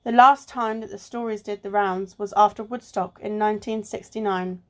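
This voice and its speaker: A woman playing a clever, level-headed amateur sleuth in an amateur radio play, with a measured delivery. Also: mid-range voice, steady tone, lightly acted. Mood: thoughtful. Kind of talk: amateur radio play acting, often reading articles aloud. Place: recorded alone at home.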